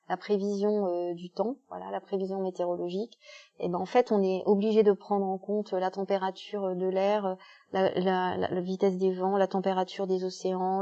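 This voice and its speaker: A woman's voice: medium pitch, mellow, highs cut off. A woman giving an explanatory talk as a guest speaker, walking through everyday examples with frequent hesitations. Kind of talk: explanatory talk at a club meeting.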